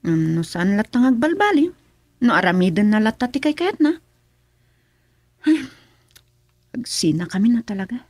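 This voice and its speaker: A woman's voice: fairly high pitch, very animated, normal speed, pauses often, darkish tone, dry recording, studio quality. A woman playing a fed-up wife in a radio drama comedy, with an Ilocano accent. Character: fed-up wife giving an ultimatum to her husband.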